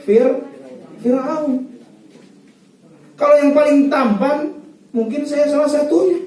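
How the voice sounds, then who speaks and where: High-voiced preacher in an echoey hall. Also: male voice, slow pace, warm tone, recorded from a distance. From Medan, North Sumatra. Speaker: preacher giving wedding advice. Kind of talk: lively humorous wedding sermon. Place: wedding venue hall.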